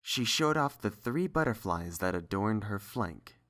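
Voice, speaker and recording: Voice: low-pitched, expressive, unhurried, fairly bright tone. A narrator reading scripted story prose.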